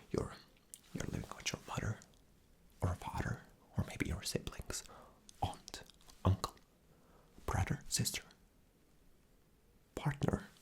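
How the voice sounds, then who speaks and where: A man playing an arrogant, rude boss in a whispered ASMR interview roleplay, breathy, condescending and dismissive. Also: lowish voice. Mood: mocking, dismissive.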